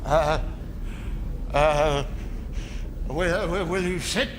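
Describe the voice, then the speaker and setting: An actor playing a grumpy, fearful old miser in a radio drama, with a blustering, stammering, indignant delivery. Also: male voice, on the high side, extremely slow, background noise. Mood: nervous. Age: older. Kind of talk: theatrical radio drama acting.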